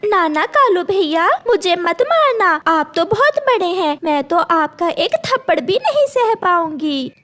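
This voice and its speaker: Woman as a timid, high little cartoon sparrow. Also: big pitch swings, a little slow, hardly any pauses, fairly bright tone, full character voice. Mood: frightened. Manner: pleading, timid. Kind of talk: Hindi children's cartoon voice acting.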